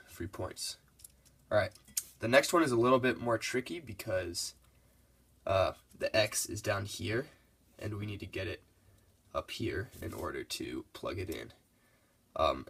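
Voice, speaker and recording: A man's voice: on the low side, vocal fry, fairly bright tone, breathy edge. A congested young man giving a casual, laid-back math lesson over his worked problems. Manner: laid-back, loose and casual, with many fillers.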